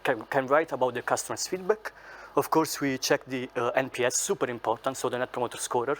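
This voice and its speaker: A man's voice: mid-range voice, fairly bright tone, a little hiss or hum. A man from southern Italy with an Italian accent, a UX designer giving an enthusiastic, explanatory lightning talk at a meetup. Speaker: UX designer, speaker. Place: meetup venue, live audience and online stream.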